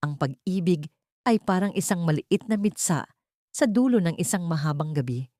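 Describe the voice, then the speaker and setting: A woman's voice: on the low side, expressive, moderate pace, dark tone, dry recording, studio quality. A Filipino woman narrating a scripted dramatic story in a sentimental, solemn manner. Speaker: audiobook narrator.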